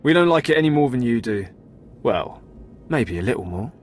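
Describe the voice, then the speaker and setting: A man's voice: mid-range voice, sing-song, moderate pace, a little hiss or hum, close to an everyday voice. An actor voicing a menacing male thug, a hired killer who talks in a taunting, cocky way.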